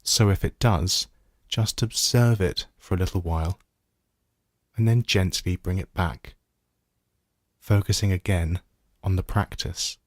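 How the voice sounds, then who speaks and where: A man guiding a body scan meditation as a teacher, giving gentle, measured instructions with pauses. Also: low-pitched, no echo, studio quality.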